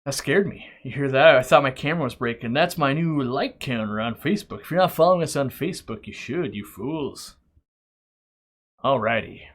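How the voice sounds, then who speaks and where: A man's voice: mid-range voice, normal speed. A middle-aged man with an ordinary mid-range voice talks off the cuff on a live stream. He wanders from topic to topic and restarts sentences often. His manner is loose and friendly, not scripted. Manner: rambling and chatty, with frequent restarts and asides; reads viewer questions aloud, then answers them. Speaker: aquarium shop owner hosting a live stream. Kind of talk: casual live-stream Q&A chat.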